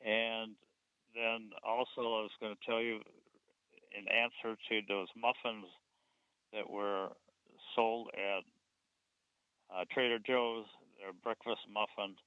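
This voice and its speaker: Man, radio caller, halting, low-pitched, smooth, phone-line sound. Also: little pitch movement, no echo. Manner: halting, hesitant. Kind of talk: phone-in call.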